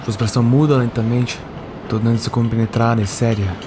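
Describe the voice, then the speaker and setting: A man's voice: medium pitch, fairly even intonation, brisk, background noise. A man with a Brazilian accent delivering scripted fantasy narration as a tabletop game storyteller.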